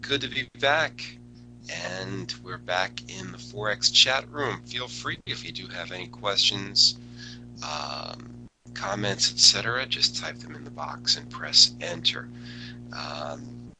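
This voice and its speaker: Deep, slow man with a bright tone. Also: lively intonation, slight background noise, rough recording. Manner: casual, rambling, many fillers and restarts. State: recovering from a cold, voice a bit lower. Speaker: forex educator hosting a webinar. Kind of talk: live market analysis webinar.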